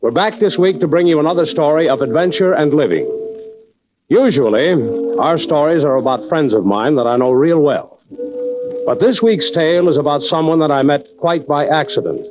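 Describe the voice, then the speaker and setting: A man acting a genial adventurer telling stories on old-time radio. His voice is slightly high for a man, with folksy narration to his listeners. The recording is muffled. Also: normal speed, music in the background, close to an everyday voice. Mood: genial, measured. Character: adventurer storyteller, genial and folksy, addressing friends. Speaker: host narrator. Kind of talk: 1940s radio drama narration.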